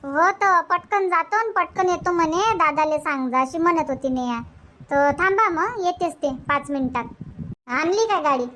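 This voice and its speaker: A woman's voice: very high-pitched, moderate pace, pushed and bright, slight background noise. A woman voicing a busy, grumbling village wife in a cartoon comedy, with colloquial Vidarbha Marathi. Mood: explaining.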